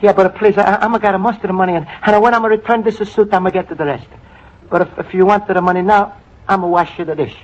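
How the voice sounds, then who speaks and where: An actor playing an earnest, broke Italian immigrant man on a date, speaking halting broken English with an Italian accent in 1940s radio comedy style. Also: high-pitched, fairly even intonation, lightly acted. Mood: earnest, flustered. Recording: dull old radio recording with faint noise.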